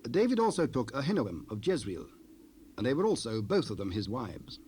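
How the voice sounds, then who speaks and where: A man narrating King James scripture in a measured, formal storytelling manner. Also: medium pitch, very animated, dark tone. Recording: unprocessed cassette rip with noise.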